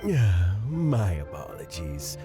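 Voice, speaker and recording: Slow, swooping kindly old gentleman. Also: sits low, very animated, full character voice. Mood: flattered, humble. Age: older. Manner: halting, courteous, chuckling. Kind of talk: scripted video game voice acting. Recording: music under the voice.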